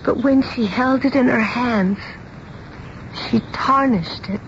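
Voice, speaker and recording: An American actress playing a fretful, stubborn young bride-to-be in a radio drama, with emotional delivery. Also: female voice, on the high side, takes it slowly. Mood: sad, haunted. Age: young adult. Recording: muffled, faintly hissy radio broadcast recording.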